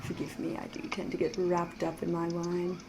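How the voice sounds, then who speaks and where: A woman playing a sly, elegant, flirtatious vampire in a soft-spoken, half-whispered ASMR roleplay, with genteel phrasing and teasing pauses. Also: lowish voice, monotone, very noisy, close to an everyday voice. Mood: sly, inviting.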